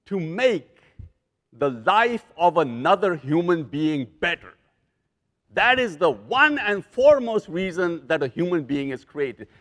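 An older man giving a motivational keynote on stage in a large conference hall, animated and joking with preacher-like emphasis. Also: high voice. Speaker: keynote speaker. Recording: long hall reverb.

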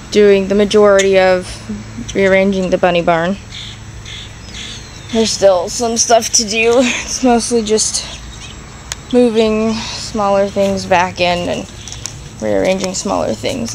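A woman's voice: medium pitch, slight background noise, poor audio. A young woman filming her barn on a handheld phone, talking casually and thinking aloud with plenty of ums as she walks around. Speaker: rabbit keeper vlogger. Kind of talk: casual vlog walkthrough. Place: straw-filled barn and yard, indoors and out. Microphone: handheld phone camera.

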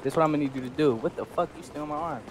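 A man's voice: a little high, racing, crowd noise, poor audio. A young man streaming on a headset, trading profane, slangy roleplay banter in an online game and often repeating his phrases.

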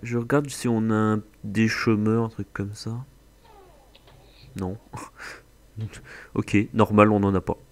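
A man's voice: fairly low pitch, slow pace, long pauses. A man from France hosting a co-op farming game session, chatting casually and joking with his co-player. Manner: relaxed, joking banter. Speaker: gaming channel host. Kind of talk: casual co-op gameplay chat.

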